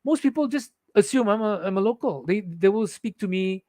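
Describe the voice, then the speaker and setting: A man's voice: high-pitched, lively intonation, fairly quick, mellow, dry recording, clean studio sound. A man from the Philippines who speaks with a Singaporean English accent, ranting indignantly to his viewers and often restarting his sentences. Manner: indignant, heated, with frequent restarts. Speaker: vlogger. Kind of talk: ranting monologue to camera.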